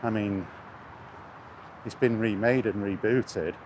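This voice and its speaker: A man's voice: on the low side, steady tone, takes it slowly, a little mellow. Blunt British man ranting outdoors. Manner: blunt, sweary, scornful. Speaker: gaming vlogger. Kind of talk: casual spoken rant. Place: outdoors, walking along near traffic. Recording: outdoor street and vehicle noise.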